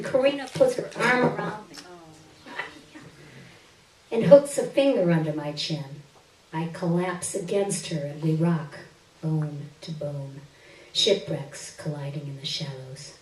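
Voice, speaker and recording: A woman giving an expressive, theatrical reading of a first-person short story on a stage. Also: lowish voice, a little slow. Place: live stage reading indoors. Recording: roomy stage, distant microphone.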